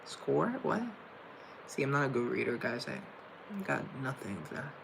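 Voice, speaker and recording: A man's voice: medium pitch, steady tone, normal speed, vocal fry, noisy background. A young man from Colombia hosting a casual livestream, rambling and thinking aloud while he reads viewer comments off a laptop. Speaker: livestream host reading chat. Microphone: laptop mic.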